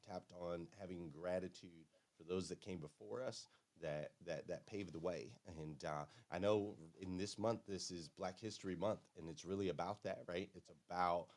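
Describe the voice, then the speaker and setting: A man's voice: low voice, moderate pace, a little mellow. A man hosting a live-stream talk show, upbeat and enthusiastic, often tagging on "man" and "right?". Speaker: show host.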